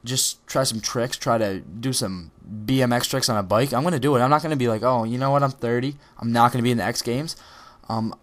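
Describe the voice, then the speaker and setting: A teenage boy speaks in a casual, rambling way over his gameplay video, at a middle pitch for a young man. His talk is full of ums, repeated starts and self-corrections. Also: fairly quick. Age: teens. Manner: rambling, many ums and restarts. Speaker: gaming video creator.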